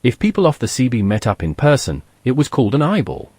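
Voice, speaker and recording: A man's voice: medium pitch, sing-song, fast talker, a little mellow, husky, no room sound. A man narrating a documentary from a script.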